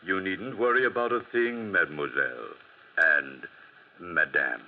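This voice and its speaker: A man's voice: low voice, steady tone, measured pace. A man playing an eager, obsequious, simple street policeman in a 1940s radio drama. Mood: eager, deferential. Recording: muffled, noisy vintage radio recording.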